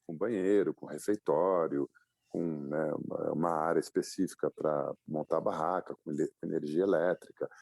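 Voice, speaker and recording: A Brazilian man in his fifties, a guest telling his life story in a relaxed interview, with frequent fillers and restarts. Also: deep voice, gravelly, no echo, studio quality. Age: 50s. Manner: reflective, many fillers and restarts. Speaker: guest, publisher and adventurer.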